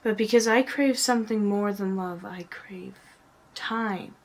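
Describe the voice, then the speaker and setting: A woman giving a dramatic spoken-word reading as a poet, earnest and often hushed, with drawn-out phrasing and pauses. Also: mid-range voice.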